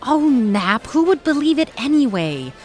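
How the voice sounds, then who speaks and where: An actress playing a cheerful young fiancée in a 1930s-set audio drama, playful, reassuring and affectionately chiding. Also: female voice, high voice, sing-song, a little slow. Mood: teasing. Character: cheerful, teasing young bride-to-be in 1937. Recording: music under the voice.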